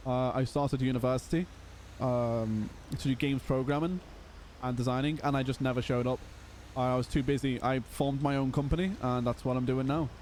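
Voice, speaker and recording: A hoarse young man, chatting casually and laughing as he streams a roleplay game. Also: medium pitch, a little mellow, slight background noise. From Liverpool, England. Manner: chatty, laughs often, calls people brother. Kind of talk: casual live-stream chat.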